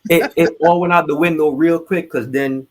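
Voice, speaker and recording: A man's voice: fairly high pitch, steady tone, moderate pace, darkish tone, slight background noise. A man from Trinidad, telling personal stories candidly and informally as a podcast guest. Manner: candid, informal, swears casually. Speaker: podcast guest, military veteran. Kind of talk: casual conversational storytelling.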